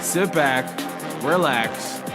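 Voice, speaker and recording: A man's voice: fairly high pitch, very slow. A man opening a podcast episode in a loose, chatty way with fillers. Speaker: podcast host. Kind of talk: show intro. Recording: intro over loud music.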